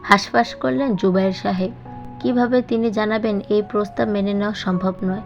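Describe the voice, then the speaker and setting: A woman's voice: mid-range voice, steady tone, moderate pace, vocal fry, highs cut off. A woman reading a scripted dramatic story as an audiobook narrator. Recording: slightly band-limited, music bed behind. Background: background music.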